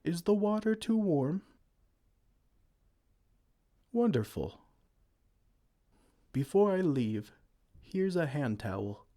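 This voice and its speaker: Here is a man playing a kind, gentle giant who looks after a tiny human, his voice soft and soothing with tender pet names. His tone is mellow and dark. He speaks slowly, and his pitch rises and falls warmly in this ASMR roleplay. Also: very animated.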